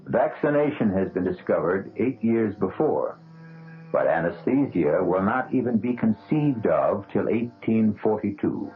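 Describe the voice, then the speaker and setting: A man narrating a radio drama in a portentous, measured, scripted style. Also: fairly low pitch, lively intonation. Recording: muffled vintage radio, faint music behind.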